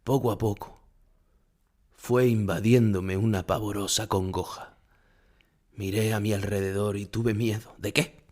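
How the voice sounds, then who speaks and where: Casting: low-voiced Spanish male actor for an older bullfighter haunted by grief, solemn first-person radio drama narration. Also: fairly even intonation, measured pace, pauses often, darkish tone, close to an everyday voice. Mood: grieving, haunted. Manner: solemn, emotional storytelling. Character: famous older bullfighter, grief-stricken, remembering his dead rival. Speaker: narrator playing a bullfighter recalling the past.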